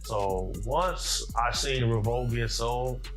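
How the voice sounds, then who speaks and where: A man giving deliberate, explanatory commentary as an interview guest. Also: mid-range voice, a bit bright, soft background music. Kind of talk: conversational commentary.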